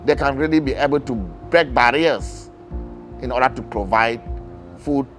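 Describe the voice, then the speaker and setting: Man, Cameroonian accent, medium pitch, personal documentary testimony over faint music. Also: moderate pace, fairly bright tone. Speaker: food bank user. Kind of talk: documentary interview, personal account.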